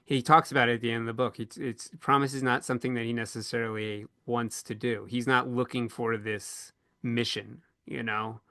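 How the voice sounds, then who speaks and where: A man moderates a casual podcast panel in a middle-pitched voice. He circles his way toward each point in a hesitant manner. The sound is dry, with very little room to it. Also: flat delivery, normal speed, few pauses, bright, forceful tone, dry recording.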